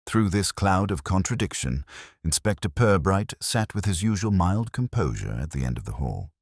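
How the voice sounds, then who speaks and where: A man narrating a scripted mystery story in a measured, formal way. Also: low-pitched, big pitch swings, gravelly, no echo, studio quality.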